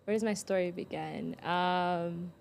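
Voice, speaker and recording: A woman's voice: on the low side, fairly even intonation, measured pace, gravelly, slight background noise. A young woman, born in Ethiopia and raised in Florida, chatting casually about her life as the guest on her own podcast, laughing often and talking with many ums and likes. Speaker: podcast host, guest this episode. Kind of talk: casual interview conversation.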